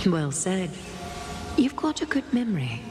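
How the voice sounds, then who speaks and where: A woman voicing a proud, commanding leader in a video game, poised and measured. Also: mid-range voice, big pitch swings. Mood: dryly approving. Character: composed, proud, commanding female leader and teacher. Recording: long hall reverb, music underneath. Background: game music.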